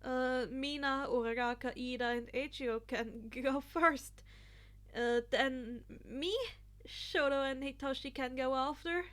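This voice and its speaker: A woman's voice: sits high, steady tone, a little slow, a bit bright, slight background noise. A woman voicing a friendly, caring and slightly hesitant teenage boy in a story reading.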